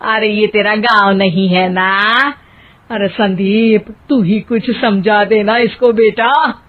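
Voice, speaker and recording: A woman's voice: medium pitch, fairly even intonation, takes it slowly. A woman voicing an exasperated, nagging Indian mother-in-law in a family cartoon, with dramatic complaints. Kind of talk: Hindi moral-story cartoon dialogue. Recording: muffled, narrow phone-like sound.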